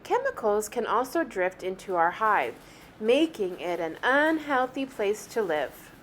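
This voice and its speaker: A woman reading a picture book aloud like a teacher, with animated, deliberate phrasing meant for young children. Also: medium pitch, a little hiss or hum. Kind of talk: expressive children's story read-aloud.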